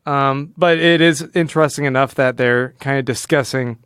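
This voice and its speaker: Give this a man with a clean, smooth voice at a medium pitch, working through his thoughts as a podcast co-host. He speaks casually but analytically, often rewording mid-sentence, and the sound is close and dry. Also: moderate pace, fairly bright tone, no echo.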